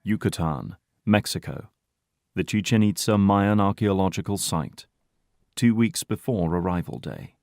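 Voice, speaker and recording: A man's voice: lowish voice, a little slow, a little mellow, no echo, studio quality. A man narrating an audio drama in a scripted, dramatic storytelling style.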